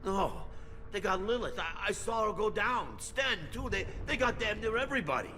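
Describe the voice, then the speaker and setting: Bitter, forceful colony mechanic. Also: male voice, high-pitched, expressive, normal speed, in a large hall, a little hiss or hum. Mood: shocked, frightened. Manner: grumbling, agitated. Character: bitter, suspicious male colony mechanic, rattled and resentful. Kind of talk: video game acting.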